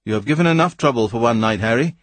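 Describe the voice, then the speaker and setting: A man voicing a massive, loud-voiced scientist in an audiobook, curt and contemptuous. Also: medium pitch, animated, fast, no room sound, natural voice. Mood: stern.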